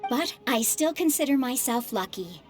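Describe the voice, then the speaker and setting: A calm widowed mother. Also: on the high side, normal speed. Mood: wistful. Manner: calm, soft-spoken. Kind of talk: video game voice acting. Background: game music.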